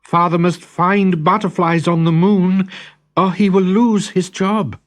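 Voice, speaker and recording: A man's voice: high-pitched, steady tone, measured pace, pitched above the performer's own voice. A man voicing an earnest, outspoken young girl, a daughter in a storybook. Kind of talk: storybook character acting.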